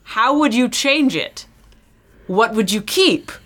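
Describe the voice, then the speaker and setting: Very expressive woman hosting a podcast. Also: fairly high pitch, takes it slowly. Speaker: podcast host posing questions. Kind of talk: casual film discussion.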